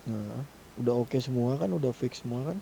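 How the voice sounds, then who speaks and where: A man's voice: medium pitch, normal speed, vocal fry, dark tone, a little hiss or hum. A man talking casually in a group discussion during an online game. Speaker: roleplay gang member helping lead a meeting.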